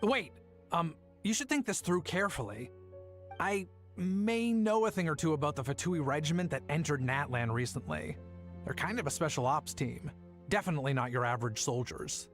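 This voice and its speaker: A man's voice: on the high side, expressive, normal speed, faint music behind, close to an everyday voice. A man playing an anxious, caring, apologetic young man in a video game, earnest and a little hesitant. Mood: cautious, informative.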